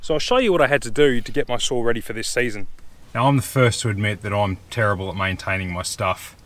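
A man's voice: fairly low pitch, fast talker, a bit bright. A man in his thirties with an Australian accent, chatting bluntly and casually about gear to a camera he holds at arm's length outdoors in the bush. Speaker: camping vlogger. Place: outdoors in open bushland, and in the back of a truck canopy. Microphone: camera held at arm's length.

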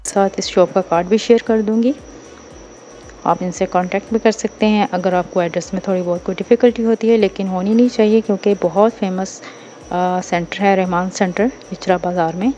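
Woman, Pakistani Urdu accent, casual shopping vlog, warm tone, dull audio, faint bazaar hum. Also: medium pitch, steady tone, a little fast, soft background music. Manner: chatty, informal shop tips. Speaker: shopping vlogger. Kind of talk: casual market walkthrough vlog. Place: busy indoor bazaar.

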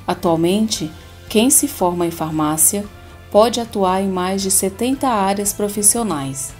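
A woman reading a scripted voice-over about a pharmacy degree in a clear, measured way. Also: medium pitch, a little mellow, faint music behind. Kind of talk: scripted narration.

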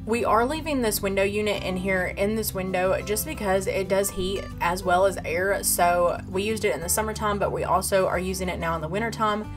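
A woman's voice: mid-range voice, a little fast, hardly any pauses, over music. A woman vlogger giving a casual walkthrough of her farmhouse renovation, explaining her plans step by step, filmed on a handheld phone in an empty room.

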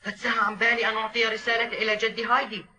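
A man's voice: high-pitched, little pitch movement, racing, bright, forceful tone, a little hiss or hum. Sulky goatherd boy. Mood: grumbling. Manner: grumbling, impatient.

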